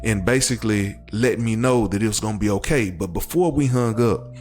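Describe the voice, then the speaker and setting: A man's voice: fairly low pitch, brisk, darkish tone, soft background music. A man with an American accent giving personal testimony as an interview guest, earnest and repeating phrases for emphasis. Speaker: show guest, crash survivor.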